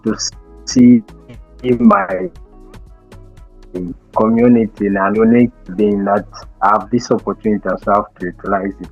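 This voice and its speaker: A young man from Zambia, a guest in an interview, speaking thoughtfully with many pauses and restarts. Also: lowish voice, creaky, phone-quality sound, faint music behind. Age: young adult. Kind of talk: casual interview talk.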